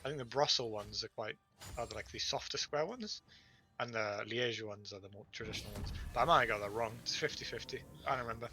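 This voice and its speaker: A man's voice: mid-range voice, fast talker, pushed and bright, rough recording. A young man casting an esports match, chatting in an easygoing, rambling way. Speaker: esports caster. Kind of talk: casual esports commentary. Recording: stream with music behind.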